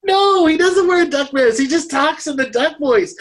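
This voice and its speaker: A woman's voice: sits high, expressive, moderate pace. Woman chatting casually about films. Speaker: podcast co-host. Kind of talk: casual film review chat.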